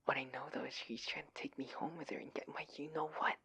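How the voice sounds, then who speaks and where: Breathy, anxious young husband acted by a man. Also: lowish voice, normal speed. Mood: panicked whispering. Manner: hushed, pleading, breathy. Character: adorkable, anxious, oblivious husband, affectionate and flustered. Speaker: audio roleplay performer. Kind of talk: intimate audio roleplay acting.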